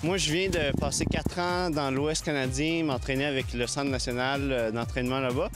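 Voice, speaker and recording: Quebec man, young adult, skier; average pitch, measured answers, handheld mic in the snow. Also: fairly even intonation, loud music behind the voice. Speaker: university skier. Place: snowy park outdoors. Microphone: handheld reporter mic.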